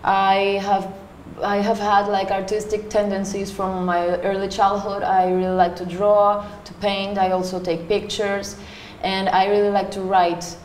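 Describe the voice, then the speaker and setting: A young woman from Panama answering interview questions casually, pausing often with fillers. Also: mid-range voice, flat delivery, a little mellow. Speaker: interview guest. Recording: echoey room with faint noise.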